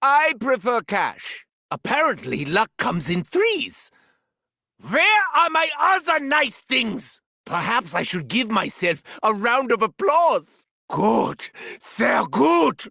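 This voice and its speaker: Casting: vain, sadistic German mad scientist, video game. Theatrical and pompous, German accent, unhurried and crackly, recorded close and dry. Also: male voice, extremely high pitch, very animated, vocal fry, bright, forceful tone, dry recording, exaggerated voice. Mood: gleeful. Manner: theatrical, pompous, swinging from muttering to shrieking. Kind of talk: scripted video game voice lines.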